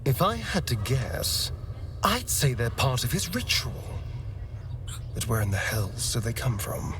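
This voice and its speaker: A man voicing a vain, centuries-old male vampire spawn, speaking in a hushed, breathy, shaken way, in a video game. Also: medium pitch, sing-song, a little slow. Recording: distant game audio with background noise.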